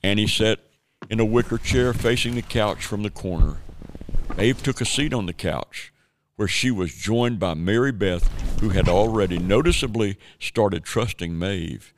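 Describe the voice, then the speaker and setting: A man serves as narrator of a scripted radio drama. His voice sits fairly low. There is faint noise and some clicking on the recording. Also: a little slow.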